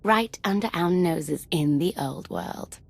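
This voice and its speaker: A lowish, very slow-talking young villainess. She drawls with husky, sinister glee, teasing and taunting as in a video game cutscene. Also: heavily acted. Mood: gleeful, taunting. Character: gleefully menacing young female villain, taunting.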